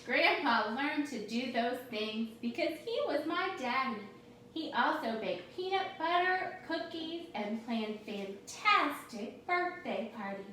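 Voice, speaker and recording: A woman's voice: sits high, expressive, takes it slowly, pushed and bright. A woman reading a storybook aloud to young children as their teacher, expressively, often turning to ask the listeners questions. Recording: very echoey room, distant mic, faint noise.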